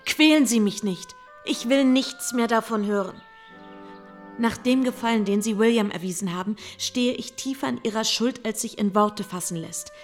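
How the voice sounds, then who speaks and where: An actress in a German radio play playing a shy, earnest young gentlewoman with a formal, halting, pleading manner. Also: fairly high pitch, faint music behind, close to an everyday voice. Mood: distressed, pleading.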